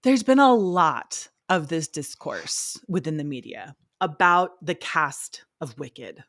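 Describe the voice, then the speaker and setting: A young woman hosts a podcast, chatting casually in a fairly low voice, expressive and unbothered about swearing. The sound is close and dry, with no room around it. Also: takes it slowly, dry recording. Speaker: podcast co-host. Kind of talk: casual chat.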